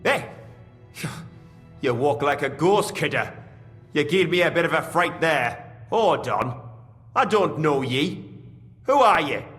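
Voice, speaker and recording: A man's voice: on the high side, animated, slow pace, long pauses, fairly bright tone, soft background music. An actor playing a plain-spoken, devout mine foreman with a Geordie accent and earthy dialect in a video game. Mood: startled, wary. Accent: Northern English, Geordie. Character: grieving working-class miner foreman, devout and plain-spoken. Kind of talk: video game dialogue acting. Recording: long cavernous reverb.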